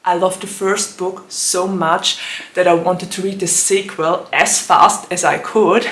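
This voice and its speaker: A slightly raspy, hoarse woman chats about her reading plans at an unhurried pace, giggling between phrases. Her voice sits bright and forward, and the room around her sounds echoey. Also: on the low side, fairly even intonation. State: hoarse from allergies. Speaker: book vlogger. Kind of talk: casual book vlog chat.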